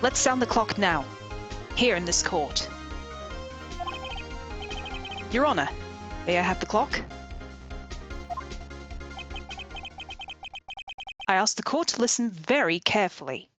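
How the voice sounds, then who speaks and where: Determined young male lawyer for a courtroom game, played by a woman, assertive, animated, music behind. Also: mid-range voice, brisk, lightly acted. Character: earnest, determined young male defense attorney. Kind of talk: reading visual novel game dialogue aloud.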